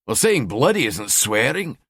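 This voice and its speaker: A man's voice: medium pitch, very animated, measured pace, creaky, fairly bright tone, slightly rough, dry recording, studio quality, full character voice. A man voicing a cynical middle-aged detective with a Scottish accent, grumbling and blunt.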